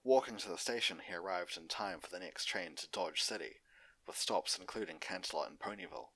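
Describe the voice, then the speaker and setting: Low-voiced man with a bright, forceful tone. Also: brisk, no room sound, low-quality recording. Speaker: narrator and host of fan fiction readings. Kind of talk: unedited story narration.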